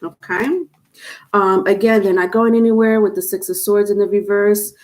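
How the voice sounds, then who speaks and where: A woman's voice: mid-range voice, steady tone, moderate pace, darkish tone. Stuffy-nosed woman giving a tarot reading. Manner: rambling, repeats and restarts, many fillers. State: stuffed-up nose. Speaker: tarot reader. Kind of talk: live tarot reading to viewers. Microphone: webcam or phone on camera.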